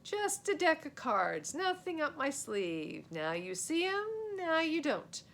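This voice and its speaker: A woman's voice: slow, heavily acted. A woman voicing a boy who bluffs as a grand stage magician in a storybook, with showy, declamatory patter. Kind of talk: storybook character voice. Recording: steady noise with low rumble.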